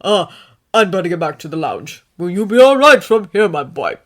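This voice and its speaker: A woman voicing a big, gentle grown male hero who comforts his young student in a fatherly way. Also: fairly low pitch, very animated, moderate pace.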